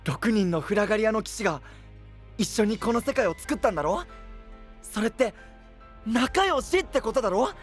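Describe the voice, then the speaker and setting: A man's voice: high voice, lively intonation, moderate pace, long pauses, soft background music. A man playing a boyish, artless, hearty young knight with a casual, guileless manner in an anime-style audio drama. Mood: musing.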